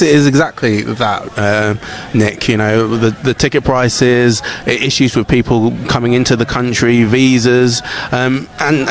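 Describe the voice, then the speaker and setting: Male news anchor, a little hoarse, middle pitch, relaxed and halting. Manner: relaxed, hesitant with many fillers. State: slightly hoarse. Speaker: news presenter.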